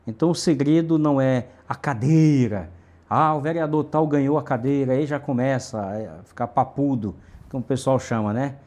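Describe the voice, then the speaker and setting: Emphatic man with a mellow voice. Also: mid-range voice, moderate pace. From Brazil, Northeast. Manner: emphatic, speechmaking with lists and repetition. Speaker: city councillor guest. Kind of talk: interview answers.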